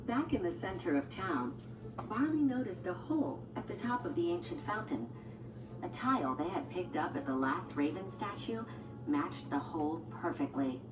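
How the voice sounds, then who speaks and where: Echoey low-pitched woman reading a storybook. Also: big pitch swings, normal speed. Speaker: storyteller narrator. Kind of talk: scripted storybook narration. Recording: echoey, distant, music bed. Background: music and sound effects.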